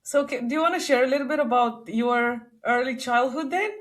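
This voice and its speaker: A woman hosting a casual interview chat, bubbly and quick to laugh. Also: high-pitched. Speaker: podcast host.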